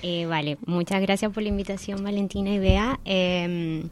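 A woman's voice: mid-range voice, steady tone, normal speed. A woman from Chile, a researcher and podcast guest, talking in a hesitant, conversational way with many fillers. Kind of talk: podcast interview.

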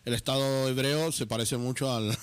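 Rambling, laughing radio host. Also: male voice, medium pitch, fairly even intonation, moderate pace, clean tone, dry recording. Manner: rambling, laughing, peppers speech with "tío". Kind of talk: casual radio chat. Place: radio studio.

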